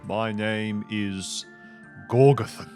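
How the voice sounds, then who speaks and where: A man voicing a proud demon destroyer who works the incinerators with a deadpan manner, in an improvised tabletop game. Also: fairly low pitch, takes it slowly, faint music behind.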